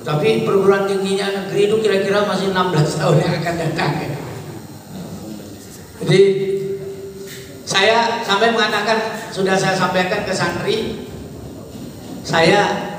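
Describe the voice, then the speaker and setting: Man, 60s, fatherly rambling advice, slightly high voice, distant mic, very echoey hall, faint noise. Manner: rambling, conversational, fatherly asides. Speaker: pesantren head. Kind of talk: informal advice talk to students and alumni.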